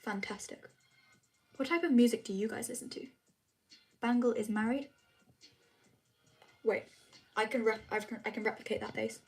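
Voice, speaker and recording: A woman's voice: a little high, fairly even intonation, moderate pace, pauses often. Chatty young woman, music faint behind. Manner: chatty, rambling, many fillers. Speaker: vlogger answering chat. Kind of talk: casual live chat.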